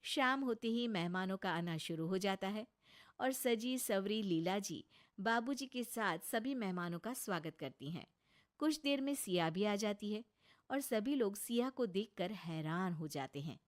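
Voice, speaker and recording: A woman's voice: medium pitch, expressive, measured pace. A woman narrating a scripted story as a storyteller.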